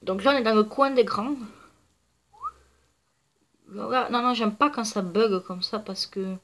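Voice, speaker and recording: A woman's voice: mid-range voice, unhurried. A woman with a stuffy, nasal voice from a cold, chatting casually through gameplay commentary with lots of fillers. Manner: chatty, rambling, many fillers. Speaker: gaming video creator.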